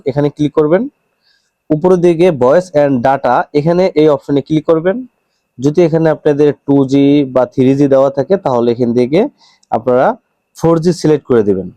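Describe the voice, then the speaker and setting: Bangladeshi guy doing a phone how-to, talks quick, soft mellow tone, dry close sound. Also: on the high side, no echo. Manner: instructional, addressing viewers directly. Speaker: tech tutorial presenter. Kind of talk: step-by-step phone tutorial narration.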